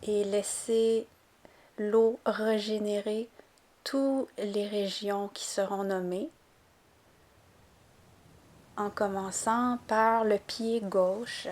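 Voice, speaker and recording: A woman guiding a yoga nidra relaxation, giving calm, gentle, unhurried instructions. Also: medium pitch. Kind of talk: guided relaxation meditation narration.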